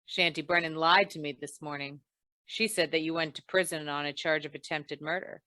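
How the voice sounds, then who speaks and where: A woman playing a tough, wry female insurance investigator in an old-time radio noir, clipped, deadpan and probing. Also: low voice, brisk, no room sound. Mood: accusing, cool.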